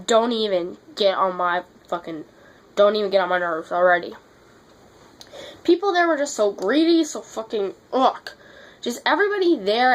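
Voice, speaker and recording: A woman's voice: a little high, a bit bright. A teenage girl vlogging, sick and losing her voice with a runny nose, telling a story in a blunt, exasperated way and swearing freely. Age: teens. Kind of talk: casual storytelling vlog.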